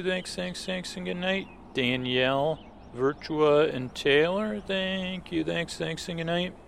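Creaky-voiced man, low-key podcast host. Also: fairly bright tone. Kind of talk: casual thank-you and sponsor read.

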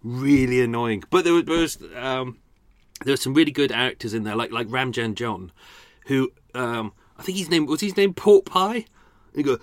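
A man's voice: mid-range voice, lively intonation, normal speed, no room sound. A man hosting a podcast, chatting casually and laughing at himself. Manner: self-deprecating, chatty, laughing often. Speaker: podcast host. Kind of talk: casual chat.

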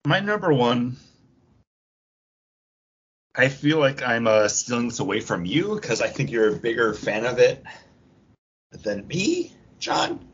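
A man co-hosting a podcast in casual, animated pop culture chat, swearing freely and acting out imagined quotes. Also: medium pitch, measured pace, long pauses, breathy edge. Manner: profane, acts out imagined quotes mid-talk. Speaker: podcast co-host. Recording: dull, lo-fi audio.

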